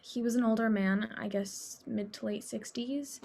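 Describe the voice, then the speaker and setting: A sorrowful academic woman with a slightly high voice, played by an actress in a documentary play. She speaks conversationally, hesitating and doubling back on her words. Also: fairly even intonation, normal speed, creaky, darkish tone. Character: sorrowful woman academic mourning an older colleague. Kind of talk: documentary theater monologue, conversational.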